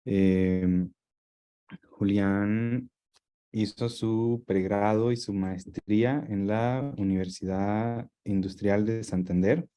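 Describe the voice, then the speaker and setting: A man's voice: on the low side, steady tone, slow, warm tone, clear, resonant tone. A man speaking as a course instructor, informally introducing a guest to an online class.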